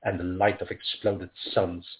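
A man from Africa, reading his poems aloud in a measured, rhythmic way. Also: deep voice, little pitch movement. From South Africa. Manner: measured, rhythmic recitation with pauses. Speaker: poet. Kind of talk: poetry reading. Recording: tinny phone-like audio.

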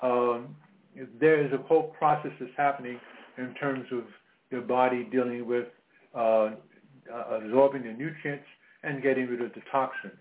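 A man in his late seventies hosts a holistic health radio show over a narrow, tinny phone line. He talks at a middling pitch in a halting way, with lots of "uh"s and repeated words. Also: fairly even intonation. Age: 70s. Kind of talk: talk radio monologue.

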